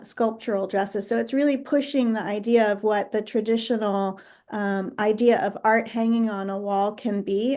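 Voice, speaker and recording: A middle-aged woman from New Jersey, a guest artist in a conversational interview, speaking thoughtfully with many pauses. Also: medium pitch, darkish tone. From United States (New Jersey).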